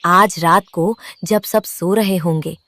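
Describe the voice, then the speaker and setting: A woman's voice: fairly low pitch, steady tone, slow, a bit bright, dry recording, voice pushed down. A woman voicing a scheming, greedy, bossy wife bird with a commanding, scolding manner.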